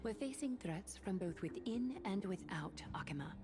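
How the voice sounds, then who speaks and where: A woman voicing a grave, composed noblewoman leader in a game dub, speaking formally and with measured command. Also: mid-range voice, expressive, close to an everyday voice. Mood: grave, foreboding. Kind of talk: English game dub voice acting. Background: music.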